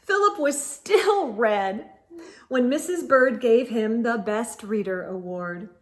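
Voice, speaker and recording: A woman reading a story aloud to children as narrator, in a deliberate, expressive way. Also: a little high.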